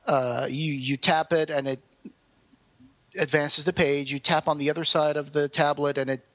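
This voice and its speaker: A man's voice: a little high, steady tone, moderate pace, no room sound, dull audio. A man co-hosting a podcast, talking through a review in a casual, rambling, explanatory way. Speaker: podcast co-host.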